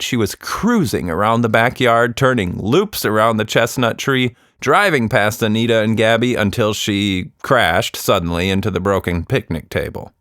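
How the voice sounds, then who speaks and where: A man narrating a scripted children's story in an expressive, dramatic storytelling manner. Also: mid-range voice, dry recording, clean studio sound.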